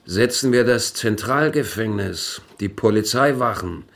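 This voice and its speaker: An actor playing a corrupt, self-pitying official who muses and wheedles in a German radio drama. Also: male voice, on the low side, lively intonation, a bit bright. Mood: interrogating. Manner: musing, slow, wheedling.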